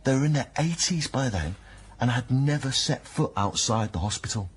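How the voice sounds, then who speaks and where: An actor playing an earnest, concerned partner in naturalistic radio drama dialogue, speaking gently. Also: male voice, medium pitch, lively intonation, normal speed, breathy edge. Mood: grave. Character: earnest, concerned male partner of a troubled woman.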